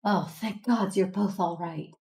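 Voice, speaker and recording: A woman playing a gentle, sorrowful Jedi consoling her family in a tender, measured way, in a sci-fi audio drama. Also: lowish voice. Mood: relieved concern.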